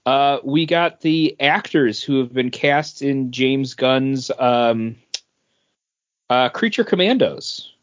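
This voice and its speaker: A man's voice: medium pitch, slow. A man co-hosting a podcast, chatting casually about entertainment news. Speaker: podcast co-host. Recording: dull, lo-fi podcast audio.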